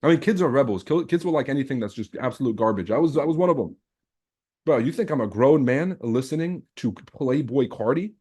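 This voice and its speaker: A man's voice: medium pitch, lively intonation, a little fast, darkish tone, slightly rough. A man from Lebanon, a native Arabic and French speaker, hosting a livestream in casual, slangy, boastful chat peppered with swearing. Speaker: trading livestream host. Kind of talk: casual chat with live viewers.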